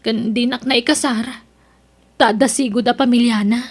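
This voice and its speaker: Woman acting a high-voiced, plaintive struggling mother. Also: steady tone, normal speed, natural voice. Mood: weary, wistful. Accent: Ilocano. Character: struggling single mother and street vegetable vendor, pleading, plaintive. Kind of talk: Ilocano audio drama acting.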